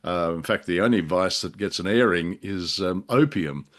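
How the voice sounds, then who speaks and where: A man co-hosting a casual discussion, speaking in a wordy, halting and wry way. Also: low-pitched, fairly bright tone. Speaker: podcast co-host.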